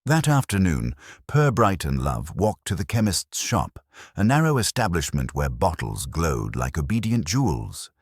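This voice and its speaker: A man narrating a period mystery story in a measured, literary manner. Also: low-pitched, sing-song, slightly rough, no room sound, clean studio sound.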